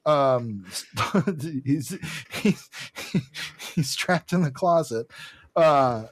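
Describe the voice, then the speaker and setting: A man's voice: on the high side, lively intonation, very slow, dry recording. A man co-hosting a podcast, casually recapping comics in an irreverent, profane, jokey way. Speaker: podcast co-host.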